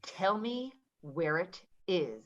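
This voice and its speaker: Woman playing angry menacing lady in a stage drama, voice swings all over, slow and pushy, bit muffled recording. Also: on the low side, sing-song, fairly bright tone, exaggerated voice. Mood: aggressive. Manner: confrontational, demanding, swearing. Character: Patty, volatile, foul-mouthed, menacing woman demanding her money. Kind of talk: dramatic play reading.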